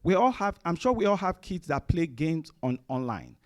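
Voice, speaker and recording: A man's voice: a little high, sing-song, moderate pace. Nigerian man giving a casual talk. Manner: conversational, many fillers and restarts. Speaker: guest speaker at a parents' summit. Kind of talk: conference presentation. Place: room with a seated audience.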